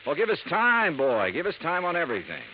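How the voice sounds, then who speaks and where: An actor playing a brisk, wry male insurance investigator who also narrates, in a 1950s radio drama. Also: fairly high pitch, huge pitch swings, a little hiss or hum. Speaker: narrating lead. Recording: dull old radio broadcast with music.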